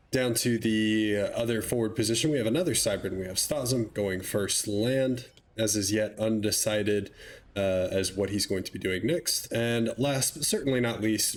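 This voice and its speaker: A man with a stuffy, slightly nasal voice casting a strategy game match with relaxed, chatty commentary and wry asides. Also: lowish voice, slight background noise. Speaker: game caster.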